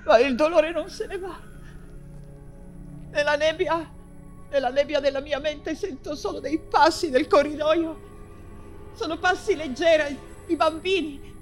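Anguished sick woman prisoner, theatre monologue, really high voice, slow n halting, fevered. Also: faint music behind. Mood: fearful, confused. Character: anguished, ailing woman writer imprisoned in a camp. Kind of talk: dramatic stage monologue in Italian theatre.

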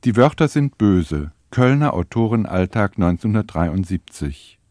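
A man's voice: fairly low pitch, very animated, unhurried, darkish tone, a little hiss or hum. A man reading a scripted announcement for a radio drama as its announcer.

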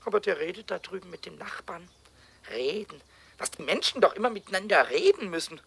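A man playing a cheeky, childlike little kobold in a 1970s children's radio play, squeaky and excitable, stammering and rhyming. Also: a little fast, gravelly, breathy edge, heavily acted. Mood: scheming. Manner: stammering repeats, rhyming, excitable muttering and shrieking.

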